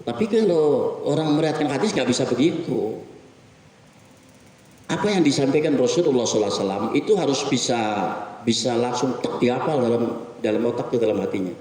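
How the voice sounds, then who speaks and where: A middle-aged man preaches at a weekly mosque gathering. He talks in a chatty, lively way and often asks his listeners rhetorical questions. He is picked up from a distance, so the hall's long reverb rings around his voice, with faint room ambience behind him. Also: mid-range voice, a little fast. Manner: conversational, animated, rhetorical questions. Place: mosque hall. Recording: echoey mosque hall from far off.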